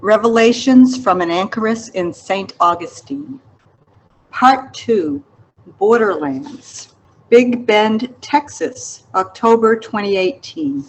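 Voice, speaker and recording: A woman author reading her literary memoir aloud in a measured, reflective manner. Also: fairly high pitch, expressive, low-quality recording. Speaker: author reading an excerpt of own book.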